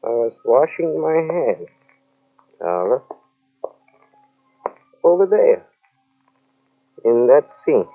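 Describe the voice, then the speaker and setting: A haunted husband in an old radio drama. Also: male voice, mid-range voice, sing-song, soft background music, heavily acted. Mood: eerie. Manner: slow, halting, ominous. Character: troubled husband, dreamy and haunted. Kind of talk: 1940s radio acting. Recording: tinny old radio transcription.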